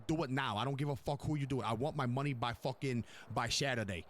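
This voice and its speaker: A man's voice: mid-range voice, quick pace, a bit bright, close to an everyday voice. A man playing a furious, domineering New York mob boss in an online game roleplay, browbeating and repeating himself with heavy profanity. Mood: angry, demanding.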